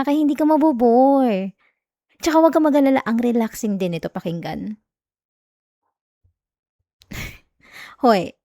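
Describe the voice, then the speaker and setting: Woman acting as a sweet clingy young filipino wife, soft coaxing asmr bedtime voice, super close and dry. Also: a little high, no room sound. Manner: soft, giggly, affectionate, coaxing. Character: sweet, clingy, teasing young wife soothing her partner. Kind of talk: intimate ASMR roleplay, bedtime chat and story reading. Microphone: close microphone, dry sound.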